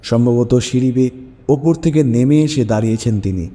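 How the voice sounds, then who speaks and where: Very dark-voiced man narrating a story. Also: medium pitch, brisk. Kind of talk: scripted dramatic story narration. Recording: long reverb with music bed. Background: music.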